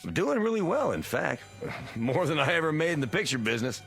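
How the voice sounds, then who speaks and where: An actor playing a tired, gentle old former screen actor in an old television drama, hesitant in his speech. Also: on the high side, big pitch swings, brisk, vocal fry, exaggerated voice. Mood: genial. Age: older. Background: faint music.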